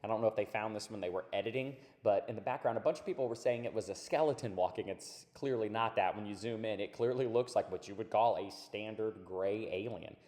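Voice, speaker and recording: A hoarse man with a raspy, lowish voice, still rough from being sick. He rambles along in a laid-back way, tossing in "dude" and "man." The recording sounds hollow and tunnel-like, with a long trailing echo. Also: brisk, hardly any pauses, a little mellow. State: hoarse after illness. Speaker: channel host. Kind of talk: casual commentary on clips.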